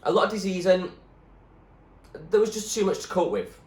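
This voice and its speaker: A man playing a frank male doctor in a storybook reading, explaining and cautioning with firm emphasis as he gently breaks bad news. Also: sits high, expressive, moderate pace, pitched above the performer's own voice. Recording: echoey room with clear background noise.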